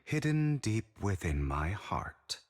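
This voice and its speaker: Weary, resolute young man, slow and measured with expressive pitch; game cutscene. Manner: measured, resolute, quietly tired. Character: weary, composed young man haunted by regret. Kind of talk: video game cutscene voice acting. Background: game music.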